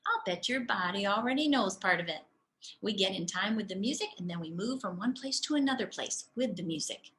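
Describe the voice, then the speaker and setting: A woman reading a storybook as a kindly older dance teacher, wise and passionate, speaking slowly and deliberately. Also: medium pitch, animated, fairly bright tone, lightly acted. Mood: patient, instructive.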